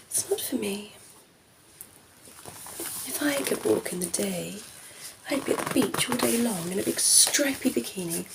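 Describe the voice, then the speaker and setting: Woman playing a slow, creaky, flirty vampire lady. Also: mid-range voice, noisy background. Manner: playful, coy, murmuring, often dropping into whisper. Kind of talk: ASMR roleplay. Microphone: close ASMR microphone.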